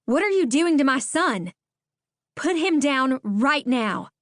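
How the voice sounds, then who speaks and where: A woman's voice: medium pitch, normal speed, no echo, clean studio sound, lightly acted. A woman doing a fierce, protective young mother. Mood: alarmed. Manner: sharp, demanding, breathless.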